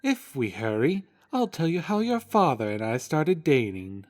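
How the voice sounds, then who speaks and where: A woman's voice: rumbling low, very animated, unhurried, darkish tone, full character voice. A woman voicing an affectionate, flirty adult mare in an audio story, sweet and gushing. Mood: playful. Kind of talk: character acting in an audio story.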